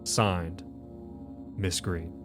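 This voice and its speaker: A man's voice: low-pitched, little pitch movement, very slow, music in the background. A man hosting a tabletop game as game master, explaining and narrating in a casual conversational style. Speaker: game master and show host.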